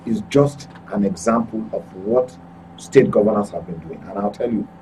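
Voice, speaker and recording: A man's voice: on the low side, moderate pace, mellow, far from the mic, slight background noise, poor audio. A man with a Nigerian accent giving political commentary, often repeating and correcting his words as he goes. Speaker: current affairs commentator.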